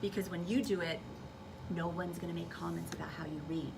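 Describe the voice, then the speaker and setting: A woman's voice: fairly low pitch, steady tone, a little fast, creaky. A woman teacher talking to children in a classroom, giving an instructive demonstration and thinking aloud step by step. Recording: noisy classroom, distant microphone. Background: room noise, children's voices.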